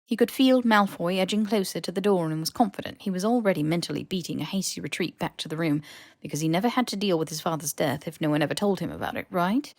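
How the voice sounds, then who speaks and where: A woman reading fiction aloud as an audiobook narrator, in an expressive scripted storytelling style. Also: lowish voice, fast talker, no echo, studio quality. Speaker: podfic narrator.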